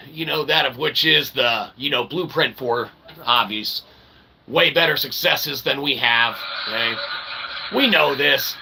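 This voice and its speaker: Gravelly man in a rambling monologue. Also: fairly high pitch, sharp tone, rough recording. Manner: wordy, mock-formal phrasing with folksy asides; emphatic, often checking in with "okay" and "right". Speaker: channel host. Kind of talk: rambling philosophical monologue to viewers. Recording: clear background ambient noise.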